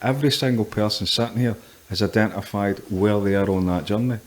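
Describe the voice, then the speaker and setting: Lower-voiced man telling stories. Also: quick pace. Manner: storytelling, thoughtful, laughs easily. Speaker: podcast co-host. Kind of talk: casual group discussion.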